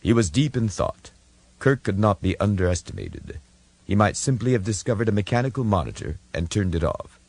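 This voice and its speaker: A man's voice: fairly low pitch, very animated, fairly quick, vocal fry, a little mellow, no echo. A man reading dramatic scripted narration as an audiobook narrator.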